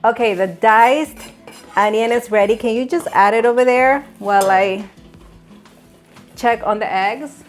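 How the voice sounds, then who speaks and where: A woman's voice: fairly high pitch, measured pace, long pauses, faint music behind. Upbeat woman hosting a cooking lesson. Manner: upbeat, instructive, laughs often. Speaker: cooking show host. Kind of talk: cooking demonstration. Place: home kitchen.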